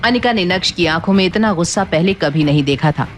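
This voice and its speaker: A woman's voice: on the low side, expressive, a little fast. A woman narrator reading a scripted story in a dramatic storytelling style.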